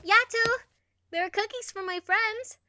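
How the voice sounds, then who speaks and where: Woman voicing a bright, very high-pitched young girl. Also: a little slow, slight background noise, full character voice. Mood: cheerful, excited. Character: bright, caring young girl, doting little sister. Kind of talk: anime fan audio drama.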